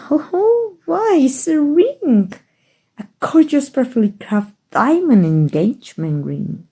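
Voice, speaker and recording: A woman playing a kind, playful young princess in love, regally confident, with soft, teasing, courtly phrasing in a romantic ASMR roleplay. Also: mid-range voice, husky, full character voice. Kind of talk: romantic ASMR roleplay, fairy-tale storybook acting.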